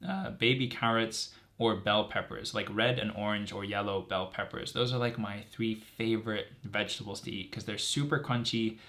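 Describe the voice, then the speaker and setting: A young man with a fairly low voice talks casually, as if straight to his viewers. He is from Greece and works through his points earnestly. He leans on key words and often repeats them for stress. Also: steady tone, moderate pace, few pauses, bright, forceful tone. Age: 20s. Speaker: medical student vlogger. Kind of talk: casual direct-to-camera chat, answering assumptions.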